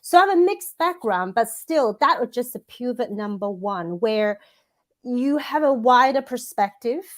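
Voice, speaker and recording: A woman's voice: a little high, lively intonation, unhurried. A woman, a coach giving thoughtful interview answers that she builds up in lists, with frequent restarts. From Hong Kong. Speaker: guest, women's coach and author.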